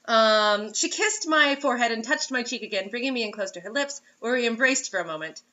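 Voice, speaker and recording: A woman's voice: on the high side, lively intonation, a little fast, bright, forceful tone, muffled recording. A woman reading a story aloud as host, in a mocking dramatic way, breaking off for sarcastic casual asides.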